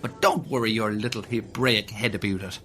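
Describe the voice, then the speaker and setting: A woman voicing a gruff, lazy, sexist male police sergeant with a put-on stage Irish accent, full of colorful oaths, in a comedic audio drama. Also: rumbling low, moderate pace, a bit bright, slight background noise. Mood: blustering.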